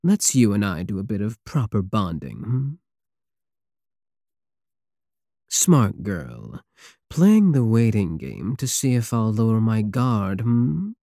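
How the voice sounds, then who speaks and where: A man's voice: lowish voice, sing-song, vocal fry, dark tone, dry recording, studio quality, full character voice. A man playing a big, teasing, possessive space pirate king in an intimate, sardonic ASMR roleplay. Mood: teasing, smug. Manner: slow, teasing, purring endearments, sardonic.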